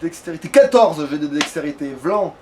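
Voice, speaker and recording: A man's voice: a little high, animated, moderate pace, darkish tone. A man chatting casually as he comments on a video game, swearing and joking in colloquial language. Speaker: let's play gamer. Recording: distant mic, noisy background.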